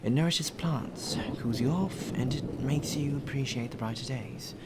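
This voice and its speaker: A man's voice: medium pitch, a little slow, close to an everyday voice. A man playing a polite, deferential butler in an ASMR roleplay, with formal, flowery phrasing. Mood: soothed, wistful. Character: courteous, well-spoken male butler, deferential and appreciative. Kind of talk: ASMR roleplay acting, addressed to the listener. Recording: rain ambience and clear background noise.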